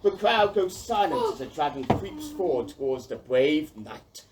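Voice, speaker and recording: Audio play narrator, female: theatrical, emphatic, lively swooping delivery, excitable storyteller, noisy recording. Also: low-pitched, unhurried. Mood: excited, suspenseful. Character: excitable storyteller commentating on a dragon fight.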